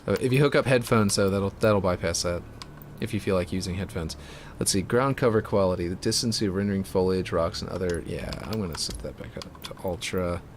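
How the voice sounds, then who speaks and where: A man with a fairly deep voice chatting casually on a live stream while building a game map, rambling with many fillers and restarts. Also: a little fast, a little hiss or hum. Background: music.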